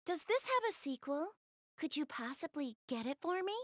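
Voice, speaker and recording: A woman playing a gentle, shy, bookish young girl in video game dialogue, soft-spoken and earnest. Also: sits high, sing-song, moderate pace, no echo, clean studio sound. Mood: eager, polite. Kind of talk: video game cutscene dialogue, addressing the listener.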